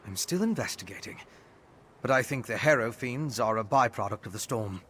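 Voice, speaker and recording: An actor playing an earnest, inquisitive male investigator in a fantasy video game, speaking in a measured, articulate, studious way. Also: medium pitch, expressive, a bit bright, husky. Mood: grave, explanatory. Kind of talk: video game character dialogue. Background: game music.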